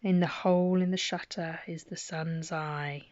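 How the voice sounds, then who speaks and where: A woman's voice: fairly low pitch, fairly even intonation, measured pace, darkish tone, dry recording, highs cut off. A middle-aged woman reading children's poems aloud at bedtime, with playful, chatty asides between the poems.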